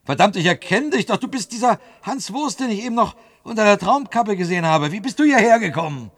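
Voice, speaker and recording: An actor in a German radio play, playing a brash, bossy but chummy worker with a blunt, colloquial way of talking. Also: male voice, high voice, lively intonation, fairly quick, a bit bright, no room sound. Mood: startled, loud. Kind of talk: German radio drama acting.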